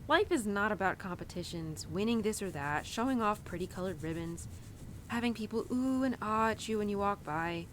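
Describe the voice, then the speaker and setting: A woman's voice: mid-range voice, expressive, moderate pace, fairly bright tone, slight background noise, lightly acted, poor audio. A woman playing a young, animal-loving cowgirl who confides tenderly in her horse in an intimate, casual, rambling audio roleplay. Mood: frustrated, confiding. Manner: casual, rambling, sighing and giggly.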